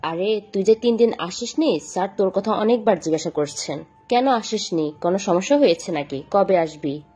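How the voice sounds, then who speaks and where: A woman's voice: mid-range voice, expressive, normal speed, warm tone, husky. A woman playing a nosy, teasing school friend in a scripted audio drama. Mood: teasing, inquisitive. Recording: music behind the voice.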